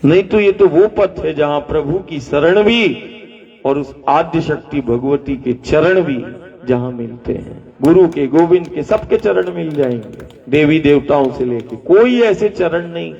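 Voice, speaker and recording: A spiritual teacher delivering a slow, measured sermon in a fairly high voice, piling up repeated phrases and calling on the crowd to answer. He is heard from well off the mic, with a long echo and a dull, lo-fi sound. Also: animated, vocal fry, darkish tone. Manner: rhetorical, repeating phrases, asking the crowd to repeat after him. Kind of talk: devotional discourse to a gathering. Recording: dull distant live recording with long reverb.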